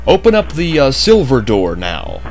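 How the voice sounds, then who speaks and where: A man's voice: medium pitch, huge pitch swings, moderate pace, creaky, a little hiss or hum. A man narrating a game walkthrough in a chatty way with jokey asides, just woken up. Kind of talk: casual gameplay commentary.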